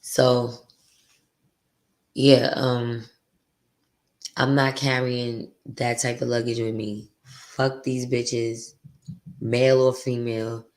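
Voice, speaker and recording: A woman with a deep voice hosting her podcast, venting bluntly and profanely in a candid, confessional monologue. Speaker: podcast host.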